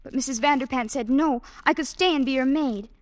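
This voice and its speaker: A woman's voice: high-pitched, a little fast, natural voice. An actress playing a timid, naive young woman held captive as a maid, earnest and girlish, in old-time radio drama style. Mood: timid, fearful.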